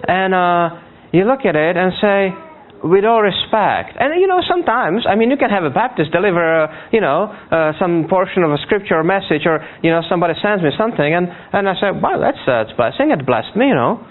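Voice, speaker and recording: High-pitched male preacher, tinny phone-like sound. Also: animated. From Africa. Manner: earnest, halting, repeats words and checks in with listeners. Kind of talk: conversational sermon. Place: speaking to a church congregation. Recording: tinny, phone-like narrow band.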